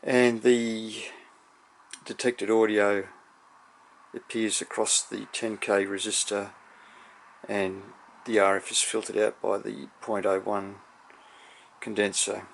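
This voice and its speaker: A man giving an unscripted technical demonstration, explaining in a measured, somewhat hesitant way. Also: lowish voice, little pitch movement, vocal fry, a little hiss or hum, rough recording. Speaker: electronics hobbyist presenter.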